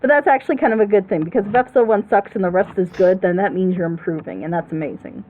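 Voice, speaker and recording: A woman hosts a casual commentary chat, rambling with plenty of emphasis. Her pitch sits in the middle for a woman. The sound is thin and boxed-in, like a phone line. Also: animated, fast talker, a little hiss or hum. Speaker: host of a reaction show.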